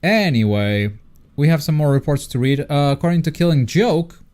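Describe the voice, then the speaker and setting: A man's voice: on the high side, animated, moderate pace, a little mellow, smooth. A man hosting a livestream, chatting casually about a game in a wry, joking manner. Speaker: livestream host. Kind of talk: casual gaming stream commentary.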